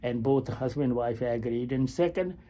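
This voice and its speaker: A man in his eighties, a retired family physician, talking slowly and haltingly in a personal video diary from a hospital waiting area, with many pauses and fillers. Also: mid-range voice, mellow. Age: 80s.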